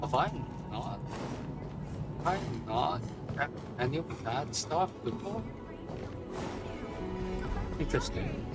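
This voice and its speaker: A man's voice: on the high side, animated, takes it slowly, vocal fry, long pauses. A man streaming a game, giving casual, slangy muttered reactions to what happens on screen. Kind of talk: gameplay reaction commentary, casual chat. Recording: game music loud behind voice. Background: loud game music.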